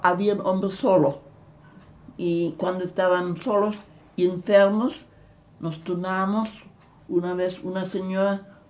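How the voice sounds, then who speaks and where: An elderly woman with a German accent, recalling her past in an interview, halting and repeating words as she searches for them. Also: on the low side, darkish tone. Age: older. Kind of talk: recounting memories in an interview.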